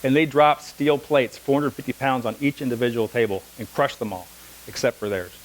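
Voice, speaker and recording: A man's voice: mid-range voice, a little fast, a little hiss or hum, low-quality recording. A middle-aged man teaching a massage class, lecturing conversationally with anecdotes and asides in a classroom, speaking without a microphone. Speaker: massage instructor.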